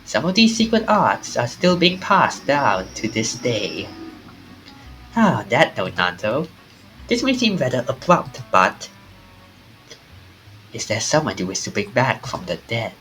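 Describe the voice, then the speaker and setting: Mysterious polite storyteller read out by a streamer, swoopy lively pitch, formal and theatrical, faint music behind. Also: measured pace, long pauses, poor audio. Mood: solemn. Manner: formal, theatrical delivery with small stumbles. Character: mysterious, courteous narrator of ghostly tales. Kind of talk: reading visual novel dialogue aloud.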